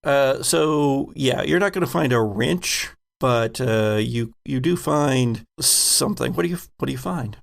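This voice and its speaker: Gravelly-voiced man running a game. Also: medium pitch, unhurried, few pauses. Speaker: game master. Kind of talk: improvised narration of a tabletop game.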